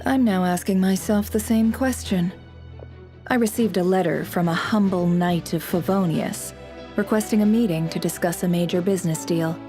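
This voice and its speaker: Woman as a cool, sly, dark-voiced spymaster. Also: lowish voice, normal speed, faint music behind, close to an everyday voice. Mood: cool, haughty. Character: cool, sly female boss of an intelligence network. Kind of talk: video game voice acting.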